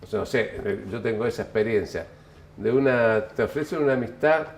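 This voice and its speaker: An older man from Chile answers an interviewer at an easy, unhurried pace. His voice sits at an everyday pitch, and his telling is reflective and musing, with frequent self-corrections, under a faint hiss. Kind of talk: relaxed reflective storytelling.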